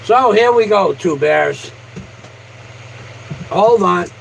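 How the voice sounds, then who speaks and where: High-pitched man on a combative livestream rant. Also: lively intonation, slow, gravelly, slight background noise, low-quality recording. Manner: combative, boastful, foul-mouthed. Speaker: live streamer addressing viewers. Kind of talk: casual livestream rant to a viewer.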